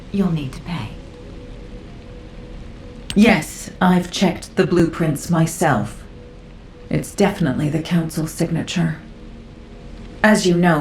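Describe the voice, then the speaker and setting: A woman playing a grave, guarded councilor in a video game cutscene, speaking in a measured, formal way. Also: low-pitched, creaky, darkish tone. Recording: echoey, faint music behind.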